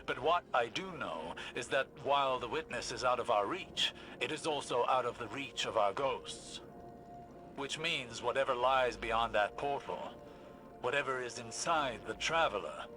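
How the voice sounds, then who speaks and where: An actor playing a learned, cautious male scholar in a science fiction game, speaking formally and deliberately with precise phrasing. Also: medium pitch, music in the background, natural voice. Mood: intent, solemn. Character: grave, learned male scholar in a sci-fi world, measured and cautious. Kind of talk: dramatic video game dialogue.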